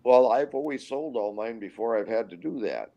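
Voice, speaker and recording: A man in his sixties from Minnesota, a guest pilot telling stories in a rambling, halting way with many restarts. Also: mid-range voice, animated, gravelly, warm tone, dull audio. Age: 60s. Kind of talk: casual storytelling interview.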